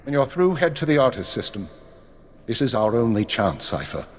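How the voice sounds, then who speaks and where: A man's voice: mid-range voice, very animated, moderate pace. An actor voicing a stern middle-aged male spymaster who briefs an agent in a clipped, commanding tone, in a video game cutscene. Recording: long hall reverb.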